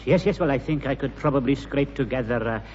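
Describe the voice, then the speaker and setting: A man's voice: medium pitch, fast, darkish tone, natural voice. An actor playing a hesitant, obsequious, pious man selling dodgy goods in a British sitcom, often repeating himself. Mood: smooth-talking, pious. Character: shifty, ingratiating chapel-going man selling dodgy goods. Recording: muffled, noisy old sitcom recording, reverberant.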